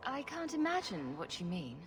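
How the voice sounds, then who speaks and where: An actress playing a sarcastic, sharp-tongued female adventurer for hire in a video game. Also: fairly low pitch, wildly swooping pitch, normal speed. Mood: sarcastic, firm. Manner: sarcastic, dry. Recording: background music.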